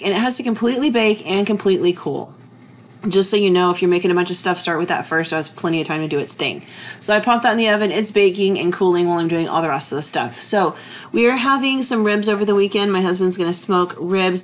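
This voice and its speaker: A woman, chatting relaxed and easygoing as she narrates her cooking vlog. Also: on the low side. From Texas. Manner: chatty, relaxed, full of fillers. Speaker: vlogger. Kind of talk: casual kitchen vlog narration.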